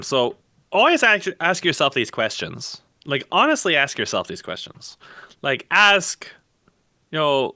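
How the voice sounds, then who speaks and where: A man with a very bright, hard-edged voice holds forth in an opinionated podcast discussion. He speaks bluntly and emphatically, often asking questions to press his point. The sound is close and dry, with little room to it. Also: mid-range voice, no echo. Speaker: podcast guest and game content developer. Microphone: voice call microphone.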